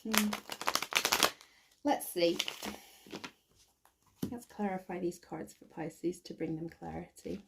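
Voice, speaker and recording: Croaky woman giving a tarot reading. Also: on the low side, takes it slowly, pauses often, background noise. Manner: thinks aloud with many ums and restarts. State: croaky, recovering from bronchitis. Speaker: tarot reader. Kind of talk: casual tarot reading to camera.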